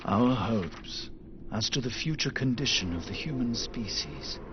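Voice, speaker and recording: An actor playing a reflective letter writer in a video game, speaking in a solemn, deliberate, literary manner. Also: male voice, medium pitch, expressive, natural voice. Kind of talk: scripted video game narration. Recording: dull game audio with clear background noise.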